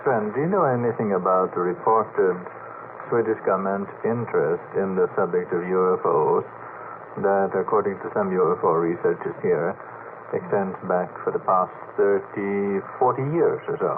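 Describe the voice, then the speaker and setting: A man's voice: sits low, moderate pace. A man asking questions as a panelist in a radio discussion. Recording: noisy, tinny old radio broadcast.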